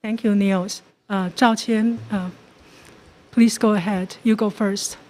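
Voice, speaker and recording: A woman interpreting at a forum, speaking haltingly in short pieced-together phrases. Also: mid-range voice, steady tone, darkish tone.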